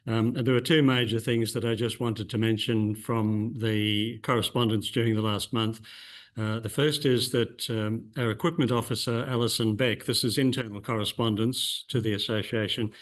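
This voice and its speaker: A man with a low, flat voice gives a secretary's report to a club meeting. He is measured and formal, with the odd um between points. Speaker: general secretary. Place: meeting room.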